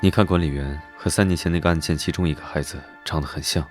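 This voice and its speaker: Deep, quick-talking, teasing young duke, voiced by a man for an animated drama; background music. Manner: teasing, drawling and self-assured. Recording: background music under dialogue.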